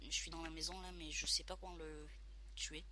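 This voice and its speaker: A man's voice: fairly high pitch, little pitch movement, a little fast, over a noisy crowd. A man with a cold, chatting and joking as he hosts a video of a game played with a friend. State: stuffy from a cold. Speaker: gaming video host. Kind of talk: casual gaming commentary with a partner.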